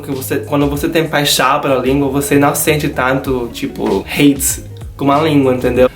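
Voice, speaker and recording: A young man from the United States with an American accent, speaking Portuguese as a second language in a chatty vlog to his viewers. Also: mid-range voice. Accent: American English. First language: English. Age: young adult. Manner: chatty, keeps addressing viewers as "galera". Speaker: youtuber. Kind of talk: casual vlog talk to camera. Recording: echoey room with faint guitar music.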